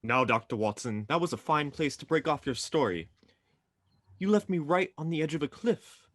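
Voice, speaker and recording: A man's voice: fairly high pitch, lively intonation, moderate pace, fairly bright tone, no room sound. A man acting as an eager announcer in an old-time style radio drama, prompting the storyteller. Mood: eager, curious. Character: Mister Bell, eager radio announcer chatting with Watson. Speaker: announcer and interviewer.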